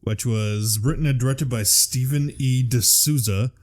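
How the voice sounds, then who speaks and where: A man's voice: mid-range voice, measured pace, darkish tone. A man hosting a podcast in casual, profane chat, reading out film trivia and riffing on it. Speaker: podcast host.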